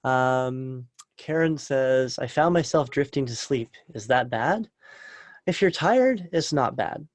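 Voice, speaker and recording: A calm, soft-spoken man teaching meditation, guiding a session and then answering questions from an online group. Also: medium pitch, slow, no echo. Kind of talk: guided meditation and live Q&A webinar. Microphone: video call.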